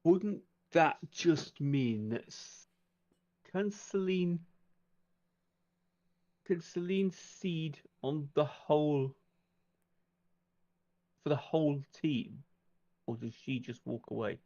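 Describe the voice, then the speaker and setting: A man's voice: on the high side, slow, pauses often, mellow, lo-fi sound. A man playing a tabletop roleplaying game, thinking aloud and asking questions in casual discussion.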